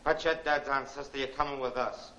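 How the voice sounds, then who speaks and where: An actor playing a brusque, slangy gangster thug in a 1940s film serial. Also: male voice, mid-range voice, steady tone, fairly quick, bright, forceful tone, lightly acted. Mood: threatening. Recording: echoey, faintly noisy 1940s film soundtrack.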